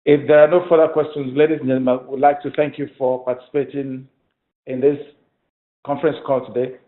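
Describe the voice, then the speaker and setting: A man hosting a company conference call as an executive, answering in a formal, courteous, halting manner. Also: medium pitch, level tone. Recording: roomy, tinny speakerphone line.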